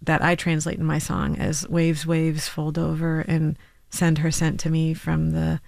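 A woman's voice: low voice, monotone, unhurried, gravelly, darkish tone, no room sound, clean studio sound. A woman in her thirties, a musician, talking casually in an interview with laughs and "like". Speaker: musician guest. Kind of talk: interview conversation.

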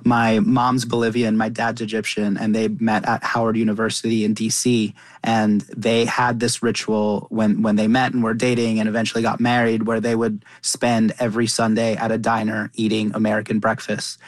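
A man's voice: on the low side, monotone, moderate pace, hardly any pauses, no echo. A young man from Qatar, a chef and cookbook author, talking earnestly as a radio interview guest and often restarting his sentences. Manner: earnest, with frequent restarts and repeated words.

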